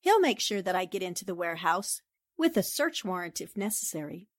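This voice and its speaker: A woman's voice: fairly low pitch, very animated, fairly quick, no echo, studio quality. A woman playing a confident, determined newspaper sleuth in 1940s radio drama style, assertive and politely pointed. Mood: coolly insistent.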